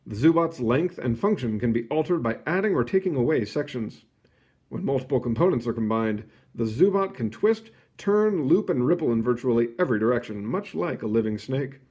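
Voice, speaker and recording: A man reading a children's nonfiction book aloud, phrasing it clearly and adding short casual asides. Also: medium pitch, animated, fairly quick, darkish tone. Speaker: read-aloud host.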